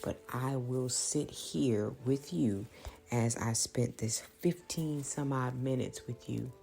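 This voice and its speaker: A woman from the American South speaks in a very deep register with plenty of gravelly fry and a mellow, dark tone. Her carries an earnest, reflective monologue that slips into prayer. She halts and repeats phrases as she thinks out loud. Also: slow pace. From small-town Louisiana, United States. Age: late 30s. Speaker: podcast host.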